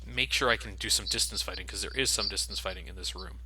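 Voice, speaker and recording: A man's voice: deep voice, fast, pushed and bright, breathy edge, low-quality recording. A man giving casual, sardonic video game commentary with some swearing.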